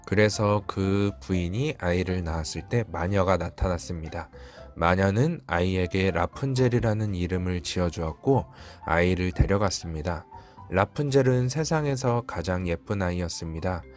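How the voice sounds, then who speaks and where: A man's voice: deep voice, moderate pace, darkish tone, soft background music. A man reading a fairy-tale storybook aloud as the narrator, in scripted storytelling style.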